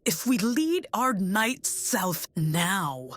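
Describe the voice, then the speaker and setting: A woman's voice: mid-range voice, sing-song, very slow, fairly bright tone, no echo, exaggerated voice. A woman playing a blunt, urgent, commanding army general in a fantasy audio drama.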